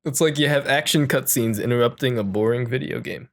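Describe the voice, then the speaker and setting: A man's voice: mid-range voice, brisk. A man co-hosting a podcast with flippant, joking, casual banter. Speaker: podcast co-host.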